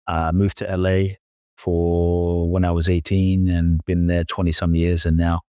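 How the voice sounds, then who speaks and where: A middle-aged man from London, a spiritual teacher, telling his story reflectively as an interview guest in measured, emphatic short phrases with some repetition. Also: deep voice, monotone, mellow, clean tone, dry recording, clean studio sound. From London, England.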